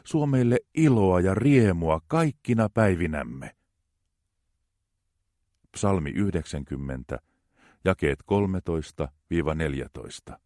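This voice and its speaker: A man reading psalms aloud in a slow, measured, reverent way. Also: deep voice, expressive, a little mellow, no room sound, studio quality. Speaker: scripture reader.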